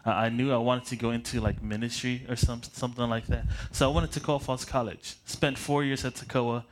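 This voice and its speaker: A young man in his late twenties, a preacher from Michigan, talks in a loose, self-deprecating way, restarting phrases and letting out small chuckles. His pitch sits in an ordinary middle range for a man. A faint hiss of noise runs under the recording. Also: little pitch movement, fairly quick. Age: late 20s. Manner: paces around while preaching. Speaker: pastor. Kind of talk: prayer and sermon introduction.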